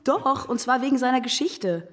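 Blunt, high-voiced German schoolgirl. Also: lively intonation, normal speed. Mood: defiant. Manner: blunt, combative, argumentative. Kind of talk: German radio drama acting.

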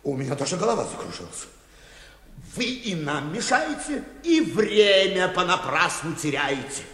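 An actor playing a pompous, evasive bank director in a comic radio play, fussy and politely exasperated. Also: male voice, high voice, sing-song, moderate pace, fairly bright tone. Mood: weary exasperation. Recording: roomy, reverberant radio drama recording.

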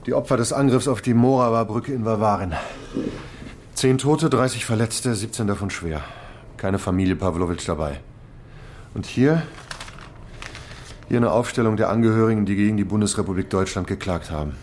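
A man's voice: lowish voice, normal speed, pauses often, darkish tone, a little hiss or hum, lightly acted. An actor playing a methodical male police investigator who lays out facts in a matter-of-fact way in a radio play.

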